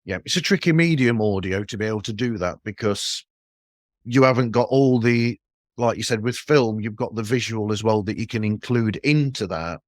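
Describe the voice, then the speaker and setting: A man's voice: lowish voice, moderate pace, no room sound, studio quality. A man hosting a podcast interview in a casual, meandering way, rephrasing his thoughts and questions as he goes.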